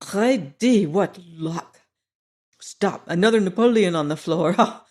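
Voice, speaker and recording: A man voicing a boisterous old French ex-soldier with a hoarse voice and a French accent, effusive and flattering. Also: high voice, slow pace. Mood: jovial, eager.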